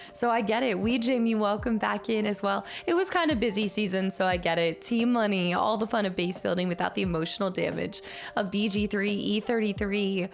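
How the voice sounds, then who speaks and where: A woman streamer chatting casually with her viewers and thanking them, upbeat, her voice a little raspy today. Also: mid-range voice. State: slightly raspy voice. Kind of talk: casual stream chat reading chat. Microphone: streaming mic.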